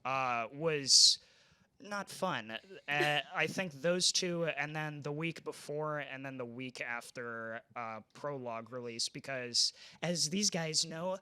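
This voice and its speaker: A man's voice: fairly high pitch, slow, pushed and bright, clear, resonant tone. A young man, a game programmer, chatting casually on a convention panel, rambling with frequent restarts. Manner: rambling, stumbles and restarts often.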